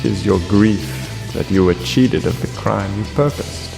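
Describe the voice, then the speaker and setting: A man playing a cruel, vengeful king in a classical verse tragedy, gloating deliberately and theatrically in a gravelly voice. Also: fairly low pitch. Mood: cold scorn. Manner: deliberate, theatrical, savouring each phrase. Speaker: stage actor. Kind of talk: classical verse tragedy acting, declaimed monologue. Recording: long reverb, guitar music underneath.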